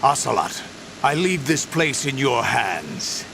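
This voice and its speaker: A gravelly, imperious mastermind. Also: male voice, mid-range voice, very animated, noisy background, exaggerated voice. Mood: stern. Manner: commanding, deliberate, cold. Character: imperious, calculating male mastermind commanding a mercenary army. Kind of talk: video game cutscene acting.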